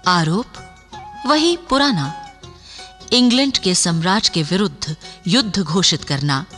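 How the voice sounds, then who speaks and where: An actress in a radio play voicing a dramatic narrator of a historical tale, speaking in a suspenseful, theatrical way. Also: female voice, lowish voice, animated, a little slow, fairly bright tone. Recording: radio play with music underneath.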